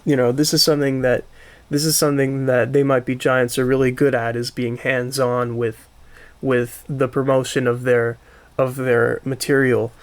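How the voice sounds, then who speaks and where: A man hosting a casual podcast conversation, talking haltingly and circling back to rephrase with keen interest. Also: medium pitch, little pitch movement. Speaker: podcast host.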